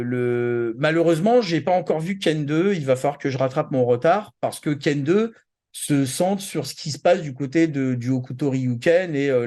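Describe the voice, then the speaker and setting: A man's voice: medium pitch, mellow. A man co-hosting a pop culture show in casual, slangy chat, often hesitating and searching for his words. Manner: rambling, hesitant, word-searching; informal slangy talk. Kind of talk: casual chat about anime and manga.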